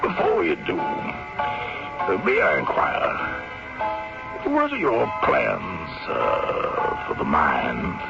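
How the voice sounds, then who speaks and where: An actor in a radio drama playing a sly, greedy frontier schemer with a gravelly voice and deliberate, courtly phrasing. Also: male voice, low-pitched, wildly swooping pitch, full character voice. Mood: falsely courteous. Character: scheming greedy frontier man, sly, smooth-talking and calculating. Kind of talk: old-time radio drama acting. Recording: muffled radio recording with music.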